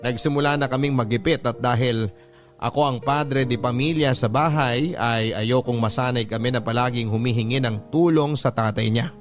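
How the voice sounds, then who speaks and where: A man's voice: mid-range voice, normal speed. Man narrating a heartfelt confession. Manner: heartfelt, addresses the host directly. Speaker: letter sender narrating his story. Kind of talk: radio drama narration. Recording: music bed under narration.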